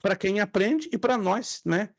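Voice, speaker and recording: A middle-aged man whose first languages are Spanish and Hebrew leads a class, repeating each word so students can echo it. His voice sits fairly high for a man. The sound is close and dry, with no room around it. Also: a little slow, vocal fry. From Brazil. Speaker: theology teacher. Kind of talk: online class lecture.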